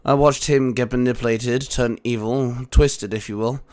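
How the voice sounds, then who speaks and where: A man voicing a calm, grave mentor of heroes in an audiobook, speaking in a measured, emphatic way. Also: medium pitch, natural voice. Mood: solemn. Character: calm, grave male mentor guarding the multiverse. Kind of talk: dramatic audiobook character acting.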